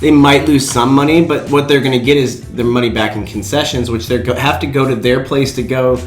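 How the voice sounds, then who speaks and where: A man's voice: mid-range voice, steady tone, a little fast. A young man co-hosting a movie news podcast in a casual, chatty style. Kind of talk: casual chat. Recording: slightly echoey room, music underneath. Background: background music.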